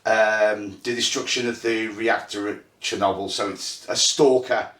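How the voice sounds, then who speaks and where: A man from Ireland with a Birmingham accent, casually hosting a tabletop game night and explaining the rules in a halting, self-deprecating way with plenty of fillers. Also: on the low side, fairly even intonation, fairly bright tone. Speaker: host and game runner. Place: indoor room at a gaming table. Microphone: phone. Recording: echoey room on phone mic.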